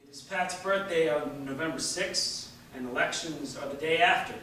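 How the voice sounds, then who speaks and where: A man giving a deliberate, emphatic reading of a written political essay at a public event. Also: fairly high pitch, a loud crowd. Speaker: reader at a staged public reading. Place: large indoor hall at a public event. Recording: echoey hall from far off, faint noise.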